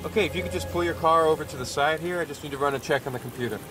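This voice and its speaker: Brisk, officious border guard played by a man. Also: on the high side, over music, close to an everyday voice. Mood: procedural. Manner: clipped, procedural, coldly insistent. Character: pious, officious male border officer of a theocracy. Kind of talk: audio drama acting.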